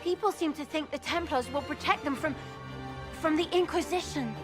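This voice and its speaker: A woman's voice: high-pitched, moderate pace, natural voice. An actress playing a deferential young female scout in a fantasy game, giving a respectful report. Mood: dutiful, uneasy. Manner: respectful, informative. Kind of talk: fantasy game cutscene dialogue. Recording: music playing behind.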